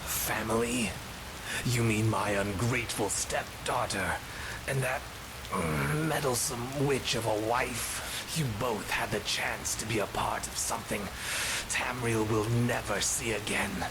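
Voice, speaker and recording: A cold, gravelly villainous stepfather. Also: mid-range voice, very noisy. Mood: contemptuous. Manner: contemptuous, slow and cold. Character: cold scheming stepfather cultist, middle-aged man. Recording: game voice with loud music behind.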